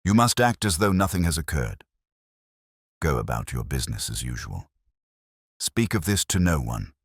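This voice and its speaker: Male narrator as Victorian gentleman detective, storybook, very deep voice, formal, quietly authoritative, dry sound. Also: very animated, normal speed, husky, no echo, full character voice. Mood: commanding. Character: Victorian gentleman detective, keen, calm, commanding.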